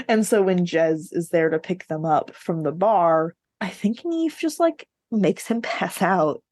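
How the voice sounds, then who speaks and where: Female podcast co-host, slightly low voice, dry recording, conversational and opinionated. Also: darkish tone, no echo. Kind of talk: casual book discussion.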